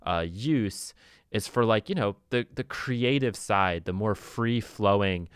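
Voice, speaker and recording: A man's voice: lowish voice, slow, clean tone, dry recording. A man giving a casual, informal music production tutorial, thinking aloud as he demonstrates on a close mic. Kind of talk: casual software tutorial talk.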